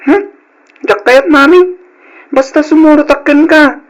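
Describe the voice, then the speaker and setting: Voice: high voice, measured pace. A performer acting in an old Ilocano radio drama as a small boy clinging to his mother, whiny and insistent. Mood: pleading.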